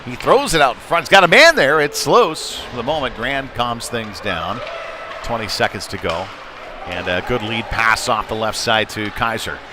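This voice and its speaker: Man calling hockey over clear background noise. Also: medium pitch, lively intonation, normal speed. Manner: clipped present-tense phrases, conversational asides. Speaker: play-by-play hockey commentator. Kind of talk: live youth hockey play-by-play commentary. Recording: noisy rink ambience behind live commentary. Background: sports venue noise.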